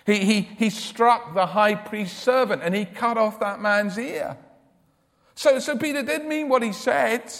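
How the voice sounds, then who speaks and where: Loud, high-voiced male preacher in a hall. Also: measured pace. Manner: emphatic, repeats words when starting sentences. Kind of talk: sermon.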